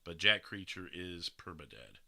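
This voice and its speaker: A man's voice: low-pitched, big pitch swings, moderate pace, gravelly, crowd noise. A man hosting a casual livestream podcast, chatting in a rambling way and reading out viewer comments. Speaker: podcast host and streamer.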